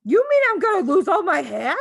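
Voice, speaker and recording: A woman voicing a vain, quick-tempered, blustering father in a storybook, shouting and wailing. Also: very high voice, big pitch swings, creaky, no echo, studio quality, pitched above the performer's own voice, exaggerated voice. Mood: panicked.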